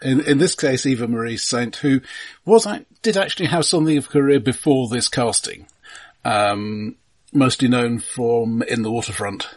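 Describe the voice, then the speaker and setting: A man's voice: mid-range voice, normal speed. A man co-hosting a podcast, talking casually about films in a conversational way. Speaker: podcast co-host. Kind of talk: casual film discussion.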